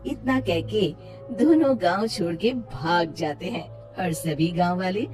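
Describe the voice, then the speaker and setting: Woman, cartoon story narration, expressive, animated pitch, music underneath. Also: slow.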